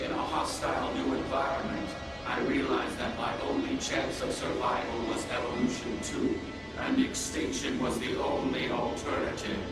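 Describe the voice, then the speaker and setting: A man's voice: medium pitch, lightly acted. A man playing a sentient supercomputer villain in a comic-book drama, speaking formally and condescendingly in grandiose monologue. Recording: heavily echoing, distant, loud music behind.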